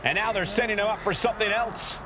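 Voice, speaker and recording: High-pitched earnest male wrestling announcer, noisy. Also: steady tone, quick pace, a loud crowd. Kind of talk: sports commentary in a video game.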